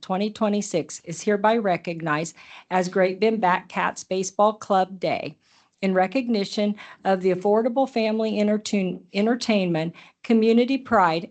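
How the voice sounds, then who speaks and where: A woman's voice: medium pitch, a little mellow. A middle-aged woman, a county commissioner, reading a proclamation aloud and chatting informally at a public meeting. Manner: friendly, informal asides with laughs.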